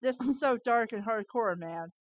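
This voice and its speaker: A woman's voice: fairly high pitch, lively intonation, normal speed, no echo. A woman co-hosting a fan fiction read-aloud, breaking off into baffled, informal asides. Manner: incredulous, informal, interjecting asides.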